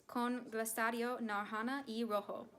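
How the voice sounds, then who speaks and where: A young woman playing a male baking contestant in a school skit, in slow, careful learner's Spanish. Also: a little high, flat delivery, a bit bright, a little hiss or hum. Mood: plain. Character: young male contestant Paco, age twenty-one. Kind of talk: student classroom skit.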